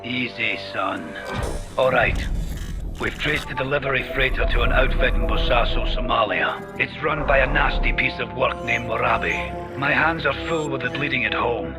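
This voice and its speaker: A man playing a gruff older British special forces veteran in a military game, terse, world-weary and commanding. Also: mid-range voice, moderate pace, loud music behind the voice, lightly acted. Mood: curt, grudging. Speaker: actor in a military shooter game. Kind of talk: cinematic game voice acting.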